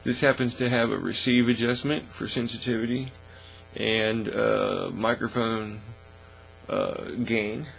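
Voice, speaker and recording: A man hosting a casual hands-on hobby demonstration in a folksy, informal, unhurried way, recorded in a small indoor workshop studio. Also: fairly low pitch, creaky, soft background music, poor audio. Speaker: host of a hobby workbench channel. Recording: steady mains hum, faint noise.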